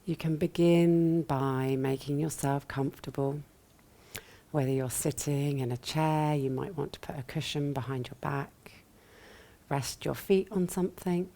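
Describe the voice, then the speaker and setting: A woman with a low, mellow voice guides a meditation. She speaks softly and gently, sometimes breathy, leaving long pauses between short phrases. There is a light creak in her tone that settles into the unhurried teaching. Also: fairly even intonation. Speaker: yoga nidra meditation teacher.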